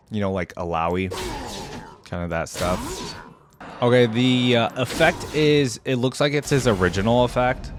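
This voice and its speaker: A man's voice: lowish voice, noisy background. A man giving casual, off-the-cuff reaction commentary as a video host, thinking aloud in an informal, exclamatory way.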